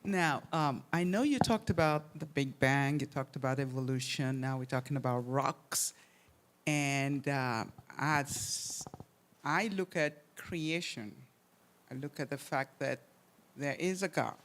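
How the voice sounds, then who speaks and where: Deep-voiced, halting audience questioner. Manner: halting and searching, repeats words. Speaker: audience member asking a question. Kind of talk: question from the floor at a conference.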